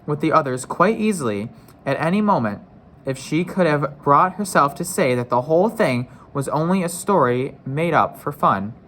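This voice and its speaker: A man's voice: fairly high pitch, animated, normal speed, fairly bright tone, a little hiss or hum. A man narrating a children's storybook as a read-aloud, careful, with the odd stumble and re-read phrase. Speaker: story reader.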